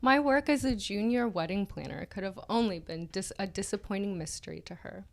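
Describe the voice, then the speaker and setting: A woman's voice: medium pitch, a little fast, gravelly, a little hiss or hum. A woman co-hosting a podcast, giving a mocking dramatic reading of an article with casual asides, prone to laughing as she reads. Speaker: podcast co-host.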